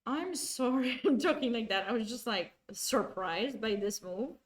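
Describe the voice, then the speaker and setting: A woman's voice: a little high, animated, a little slow. A woman, commentating a chess game live and chatting with viewers, often restarting her sentences. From Romania. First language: Romanian. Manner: chatty, self-correcting, talks to chat.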